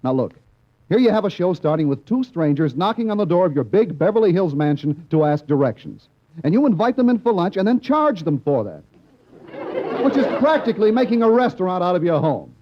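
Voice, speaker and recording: A man's voice: high voice, big pitch swings, a little fast, a little hiss or hum. An actor playing a frank male television director who explains things bluntly in a 1950s radio comedy. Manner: explanatory, lecturing delivery. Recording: dull lo-fi old radio recording.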